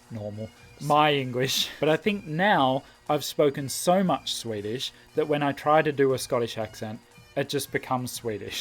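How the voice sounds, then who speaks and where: Animated man reading aloud and reacting. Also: medium pitch. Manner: animated, reacts with surprise and laughter. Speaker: language vlogger. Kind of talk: reading a book aloud and commenting.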